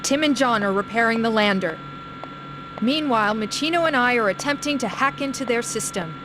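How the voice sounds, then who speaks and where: A woman playing a brisk, exasperated squad officer in a video game, urgent and commanding. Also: a little high, animated, background noise, close to an everyday voice. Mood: businesslike. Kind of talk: video game cutscene acting.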